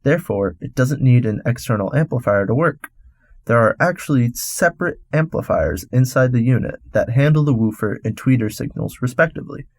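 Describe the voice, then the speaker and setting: A man presents a scripted radio explainer in a mid-pitched voice. He lays things out step by step in an instructional manner. The recording is close and dry, with little room sound. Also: dry recording. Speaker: radio host.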